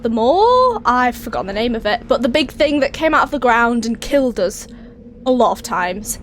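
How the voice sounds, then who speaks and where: Raspy woman with a cold, gaming. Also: on the high side, normal speed. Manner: chatty, thinking aloud while playing. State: hoarse with a cold. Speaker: let's play host. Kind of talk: casual gameplay commentary. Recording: voice over steady game engine noise.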